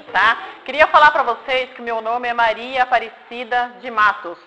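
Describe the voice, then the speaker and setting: High bright woman in her forties. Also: fairly even intonation, measured pace, rough recording. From Paraná, Brazil. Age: 40s. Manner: simple explanations with frequent checks like "tá?" and "né?". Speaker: community member telling her life story to children. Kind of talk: informal talk with questions from an audience.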